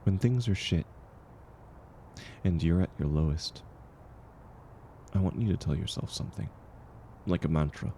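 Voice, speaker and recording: Casting: low-voiced, mellow drifter, acted by a man, world-weary but kind, gently encouraging in an intimate comfort roleplay; faint noise behind. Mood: gentle, earnest. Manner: gentle, encouraging, unhurried, chuckling often.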